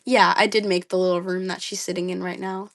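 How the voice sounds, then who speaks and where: A woman's voice: on the low side, level tone, fairly quick. A young woman with a slightly dry voice, chatting casually on a livestream, reading comments aloud with lots of ums and likes. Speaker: livestream host.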